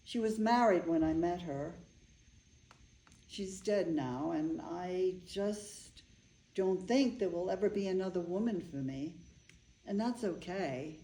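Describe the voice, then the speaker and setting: A woman's voice: on the low side, takes it slowly, dark tone. A woman playing an eccentric, affectionate older bride in a staged reading, with an earnest, rambling way of telling things. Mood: wistful. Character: Eve, eccentric older bride, affectionate, chatty animal lover. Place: indoor room, staged reading. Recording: echoey room.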